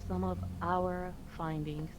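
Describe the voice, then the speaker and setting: A woman from Iraq whose first language is Arabic, a student explaining ideas earnestly in halting English. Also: lowish voice, little pitch movement, a little hiss or hum. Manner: earnest, slightly halting non-native English. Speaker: student, participant.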